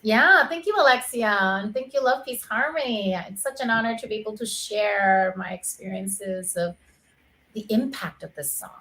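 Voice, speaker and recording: A woman's voice: mid-range voice, moderate pace, sharp tone. A woman music teacher speaking as a guest, earnest and grateful. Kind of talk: interview guest chat.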